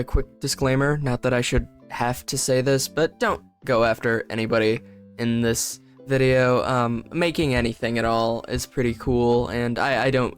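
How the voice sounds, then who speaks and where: A man's voice: medium pitch, clean tone. A man giving comedic commentary as he reads fanfiction aloud, full of sarcastic, self-interrupting asides and laughs. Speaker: YouTuber reading fanfiction aloud.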